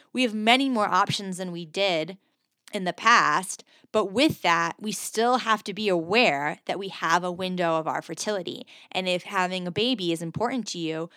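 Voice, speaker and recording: A woman's voice: mid-range voice, fairly bright tone, clear, resonant tone, dry recording, studio quality. A woman hosting a podcast interview, speaking earnestly and conversationally, often saying "I mean.". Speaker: interviewer and host.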